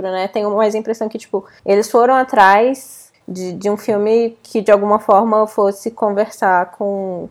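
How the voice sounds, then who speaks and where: A woman's voice: mid-range voice, a little mellow. A Brazilian woman in casual podcast chat, informal and a little hesitant as she thinks aloud. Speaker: podcast panelist.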